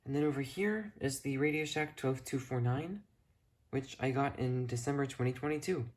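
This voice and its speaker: Mellow, rambling hobbyist narrator. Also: mid-range voice, normal speed. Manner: rambling, self-correcting. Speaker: hobbyist video creator. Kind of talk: casual unscripted show-and-tell narration. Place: indoors in a room at home. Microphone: handheld camera, speaker behind it.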